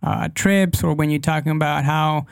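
A man's voice: fairly high pitch, fairly even intonation, moderate pace, gravelly, clear, resonant tone, no echo, studio quality. A young man whose first language is Spanish, chatting as an interview guest and often hesitating as he searches for English words. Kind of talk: casual interview chat.

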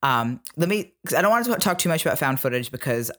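Podcast co-host, medium-pitched voice, casual delivery, analytical and explanatory, lays ideas out point by point. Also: sing-song, fast, a bit bright.

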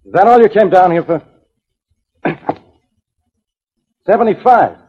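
Gruff, easily baited radio-drama police inspector: blustering, husky, very animated delivery, dull 1940s sound. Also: male voice, high voice, unhurried, heavily acted. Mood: impatient, suspicious. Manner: blustering, impatient, barking orders.

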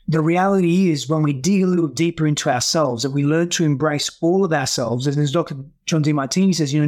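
Earnest reflective man, slightly high voice. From Greece and Australia. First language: Greek. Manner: earnest, many restarts and "you know". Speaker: guest coach. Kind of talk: reflective interview talk.

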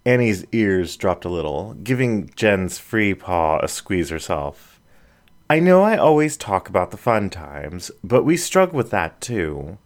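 A man narrating a story in a low, expressive voice. His pitch moves widely while his pace stays slow and measured, as if reading a storybook aloud. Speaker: audiobook narrator. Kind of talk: scripted narration.

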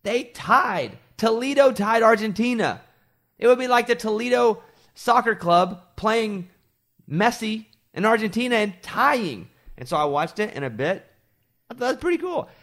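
A man's voice: high voice, sing-song, unhurried, a bit bright. A man from Arkansas, a morning show host, chatting casually on a podcast and telling rambling stories with self-deprecating asides.

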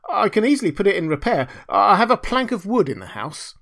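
A humble, loyal little gardener from a storybook, put on by a man. He speaks in a raised, lively pitch with an apologetic, eager manner. His phrases often open with a hesitant stammer. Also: brisk, gravelly, full character voice. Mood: delighted. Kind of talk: storybook character reading.

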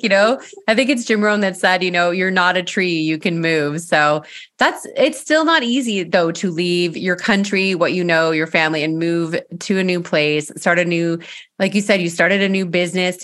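A woman's voice: lowish voice, a bit bright. A middle-aged woman hosting a podcast, talking in a conversational, affirming way. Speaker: podcast host. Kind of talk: casual interview talk.

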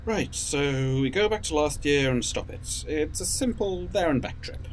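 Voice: mid-range voice, expressive, unhurried, slight background noise, close to an everyday voice. An actor in an audio drama playing a polite, reserved time-travelling train captain with formal, slightly awkward phrasing. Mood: businesslike.

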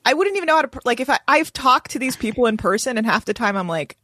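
A woman talking casually on a panel in a halting way, hedging as she finds her words. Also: on the high side, animated, bright, forceful tone, no room sound. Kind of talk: casual chat.

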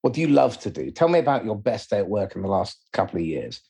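A man's voice: lowish voice, very animated, quick pace, warm tone, husky. A man hosting a conversational interview, asking questions and explaining his own approach.

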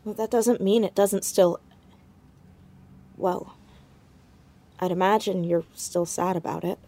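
A woman's voice: lowish voice, fairly even intonation, slow pace, mellow. A woman playing an anxious, determined teenage girl sleuth in an audio drama, speaking hesitantly but insistently. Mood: sympathetic. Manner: hesitant, stammering yet insistent. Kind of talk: naturalistic audio drama acting.

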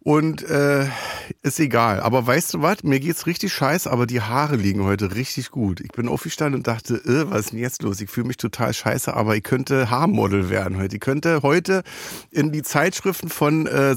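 A man's voice: mid-range voice, vocal fry, no echo, clean studio sound. Rambling man with a cold. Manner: rambling, self-interrupting, jokey. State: has a cold. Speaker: podcast host. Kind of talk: casual rambling chat.

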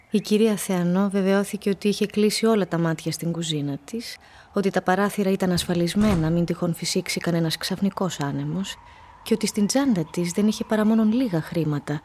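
A woman's voice: on the low side, darkish tone, a little hiss or hum. A woman giving scripted literary narration for a radio drama. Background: music.

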